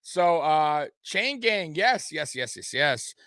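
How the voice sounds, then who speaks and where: Bright-voiced man hosting a casual sports recap. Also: a little high, very animated, slow, clean tone, no echo, clean studio sound. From Detroit area. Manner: loose, rambling, talks to the chat. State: a little tipsy. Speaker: host.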